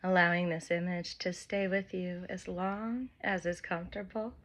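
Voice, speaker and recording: A woman leading a guided meditation, speaking gentle instructions in short phrases with long pauses between them. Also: on the low side, fairly even intonation. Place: by the open air with wind and birds.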